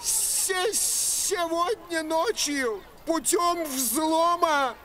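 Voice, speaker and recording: A man voicing a town crier in an animated film, calling out proclamations in drawn-out words. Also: very high-pitched, heavily acted. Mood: proclaiming. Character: loud town crier proclaiming news to townsfolk.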